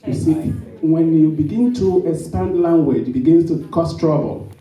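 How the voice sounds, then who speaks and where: A man, a storyteller, giving a halting, then emphatic, speech to an audience. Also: fairly high pitch, warm tone. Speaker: storyteller speaking at a summit. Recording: echoey hall, mic from a distance.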